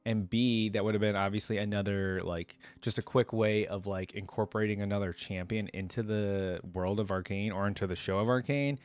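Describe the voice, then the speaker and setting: A man's voice: on the low side, steady tone, a little fast, hardly any pauses, clear, resonant tone, dry recording. A man reacting and reading stories aloud as a video creator, with informal, chatty asides between the passages. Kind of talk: casual reaction commentary and read-aloud.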